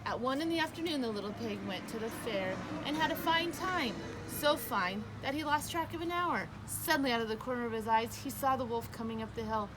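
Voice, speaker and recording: A woman reading a children's picture book aloud as a storyteller, with expressive, emphatic narration. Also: on the high side, fairly quick, hardly any pauses, background noise.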